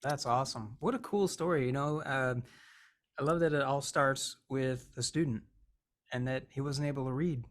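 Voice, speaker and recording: A man's voice: mid-range voice, normal speed, fairly bright tone. A man hosting an online interview, upbeat and encouraging, cheering his guest on as he asks questions. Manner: enthusiastic, encouraging. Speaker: interview host.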